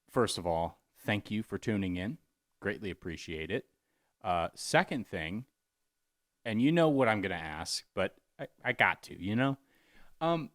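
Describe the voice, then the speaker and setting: Animated man making a folksy appeal. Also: mid-range voice. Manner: halting, jokey, folksy. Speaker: community theater host. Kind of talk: informal donation appeal.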